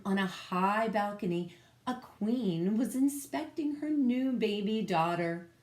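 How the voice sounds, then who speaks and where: A woman teacher reading a picture book aloud to children, expressive and often putting questions to her listeners. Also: medium pitch.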